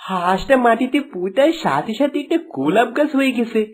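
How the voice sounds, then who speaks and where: A man voices a greedy hunter from a cartoon fairy tale. His delivery is dramatic and swings widely in pitch, with faint music underneath. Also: very animated, fairly quick. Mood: fearful. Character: greedy cruel male hunter, later a fearful troubled husband.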